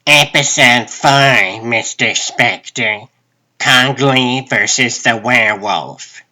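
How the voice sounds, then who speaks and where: A man's voice: medium pitch, slow pace, bright, forceful tone, pitched above the performer's own voice. A man voicing a harried, skeptical assistant to a television producer in a comic book dub, pointed and questioning. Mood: defensive.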